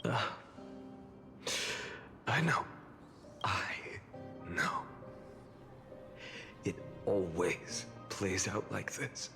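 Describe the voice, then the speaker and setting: An actor playing a weary, grim man burdened by endless memories, speaking in a brooding, measured, dramatic way for a video game cutscene. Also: fairly low pitch, sing-song, gravelly, heavily acted. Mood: weary, bitter. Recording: loud orchestral game music behind.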